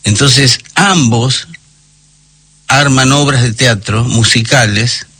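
Hoarse porteño radio music presenter. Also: male voice, mid-range voice, bright, forceful tone. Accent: Porteño Argentine Spanish. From Buenos Aires. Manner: explanatory, halting, with many fillers. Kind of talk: casual radio chat.

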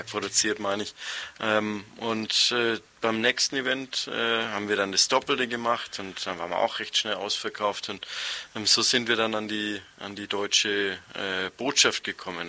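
Ein Mann aus Nürnberg erzählt als Studiogast frei und stockend, mit vielen gefüllten Pausen. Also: male voice, on the low side, bright, forceful tone. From Nuremberg, Germany. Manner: hesitant, halting, frequent filled pauses. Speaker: interview guest, restaurant co-founder. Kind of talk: spontaneous interview storytelling. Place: radio studio.

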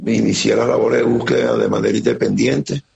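A middle-aged man with a Venezuelan accent giving an earnest, halting account as an interviewee, often repeating words. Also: medium pitch, steady tone, vocal fry, darkish tone. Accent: Venezuelan Spanish. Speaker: interviewee, security operator. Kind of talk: emotional testimony on a remote interview. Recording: muffled lo-fi line with faint noise.

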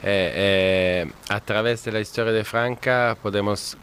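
A man from Italy speaking Spanish with an Italian accent as a guest historian on a radio interview, halting with many pauses and fillers. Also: fairly low pitch, steady tone, fairly bright tone, a little hiss or hum.

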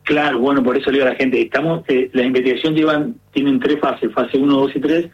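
A man's voice: medium pitch, steady tone, quick pace, slightly rough, highs cut off. A man with an Argentine accent, a gerontologist explaining earnestly in a radio interview, with many fillers. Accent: Argentine Spanish. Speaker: gerontologist guest.

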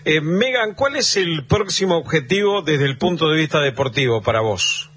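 Man, Argentine accent, radio host, husky, somewhat high, measured, eh fillers. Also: expressive, fairly bright tone. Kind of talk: radio interview.

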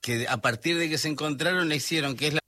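A man's voice: on the high side, fast talker, pushed and bright, dry recording. A man from Buenos Aires with a Rioplatense accent, chatting on the radio about music, often hesitating and trailing off. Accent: Rioplatense Spanish. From Buenos Aires, Argentina. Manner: hesitant, trailing off mid-sentence. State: voice not at its best. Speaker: radio co-host presenting music. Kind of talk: casual radio chat.

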